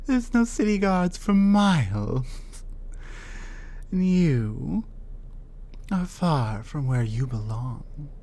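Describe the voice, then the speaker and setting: A woman's voice: deep voice, very animated, takes it slowly, pauses often, dark tone, voice pushed down, full character voice. Woman playing a territorial, menacing troll woman. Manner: contemptuous, possessive, taunting. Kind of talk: ASMR roleplay.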